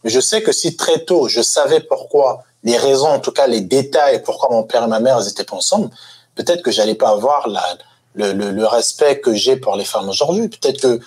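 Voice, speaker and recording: Husky man in his thirties, reflective. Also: medium pitch, a little fast, a little mellow. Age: 30s. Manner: reflective, personal storytelling. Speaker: panel guest. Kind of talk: casual debate.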